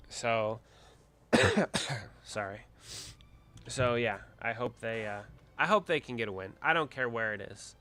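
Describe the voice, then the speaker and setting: A man's voice: mid-range voice, a little slow, pauses often, pushed and bright. A man hosting a casual livestream, congested from a cold, rambling as he chats with viewers. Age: adult with a wife. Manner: chatty, rambling, reads out viewer comments. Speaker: livestream host.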